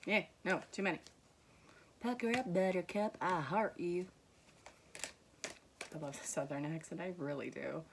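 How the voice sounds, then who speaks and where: A young woman with a low voice and an accent that mixes soft Vermont with New York, talking straight to her viewers. She gives a casual, reassuring spiritual reading and teases gently as she reads card messages aloud. A faint hiss of room noise sits under her voice. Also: a little slow, gravelly, pauses often. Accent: mixed Vermont and New York. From Vermont, USA. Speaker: tarot reader.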